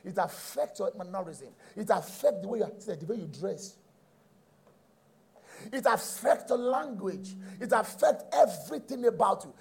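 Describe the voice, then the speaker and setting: A middle-aged Nigerian man with a raspy voice, teaching a sermon emphatically, repeating key phrases and checking in with his listeners. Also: high voice, expressive, unhurried, darkish tone. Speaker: preacher.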